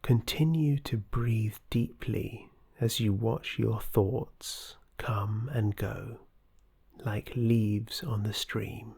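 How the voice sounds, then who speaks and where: This is a meditation guide with a mellow, dark, breathy voice. The delivery is slow, gentle and scripted, often sinking toward a whisper. It stays soothing and measured throughout. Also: mid-range voice, steady tone.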